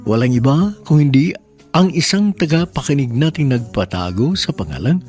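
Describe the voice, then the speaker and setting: A man's voice: medium pitch, very animated, normal speed, darkish tone. A man with a Filipino accent hosting and narrating a radio drama in a formal, sentimental, scripted style. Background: faint music.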